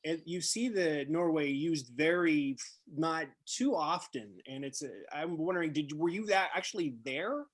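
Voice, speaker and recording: A man's voice: fairly high pitch, moderate pace. A man interviewing a guest, speaking casually and searching for words as he goes.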